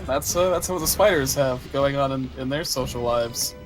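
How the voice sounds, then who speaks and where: A man's voice: mid-range voice, normal speed, over music, rough recording. A man joking casually while watching a friend play a game. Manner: playful, jokey. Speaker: co-commentator. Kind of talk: casual gaming commentary.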